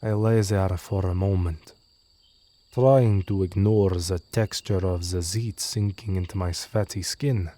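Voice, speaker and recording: A man's voice: lowish voice, mellow. A man reading a scripted first-person horror story as narrator.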